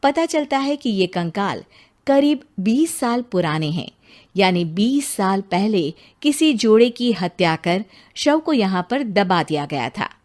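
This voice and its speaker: A woman's voice: mid-range voice, big pitch swings, measured pace, a little mellow. A woman narrating a cartoon horror story in a scripted, dramatic storytelling manner.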